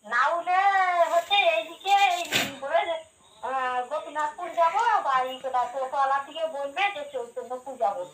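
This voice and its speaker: A woman's voice: high voice, moderate pace, fairly bright tone. A woman in a casual phone conversation, asking questions insistently. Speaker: call recipient. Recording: echoey phone call with faint noise.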